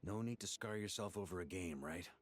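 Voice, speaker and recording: Low-voiced, easygoing game comrade. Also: male voice, a little fast. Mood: gentle concern. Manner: casual, reassuring. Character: easygoing young adventurer man, caring, self-effacing comrade.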